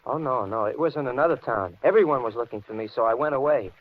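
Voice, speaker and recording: A man playing a menacing, unhinged handyman in an old-time radio thriller, speaking with slow, deliberate, softly threatening calm. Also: medium pitch, natural voice. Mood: brooding threat. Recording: muffled, hissy vintage radio recording.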